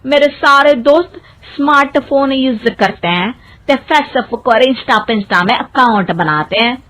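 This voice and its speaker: A woman's voice: sits high, lively intonation, measured pace, a bit bright, poor audio. Woman playing a drawling, idle young husband. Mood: wheedling. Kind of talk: Hindi cartoon storytelling.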